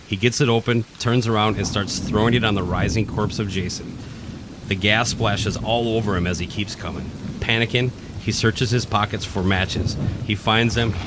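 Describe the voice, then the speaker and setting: A man's voice: on the low side, very noisy. A man narrating a scripted horror radio play with dramatic storytelling delivery. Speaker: narrator and podcast host.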